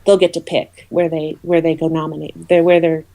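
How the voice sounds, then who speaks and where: A woman's voice: low-pitched, flat delivery, fairly quick, vocal fry, warm tone, no room sound. A woman speaking casually as an interview guest on a podcast. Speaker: podcast guest, awards representative.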